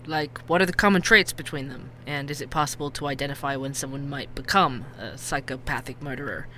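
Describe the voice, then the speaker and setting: A man's voice: medium pitch, a little fast, sharp tone, a little hiss or hum. A man playing an inquisitive, earnest true-crime podcast host, delivering scripted narration. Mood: curious.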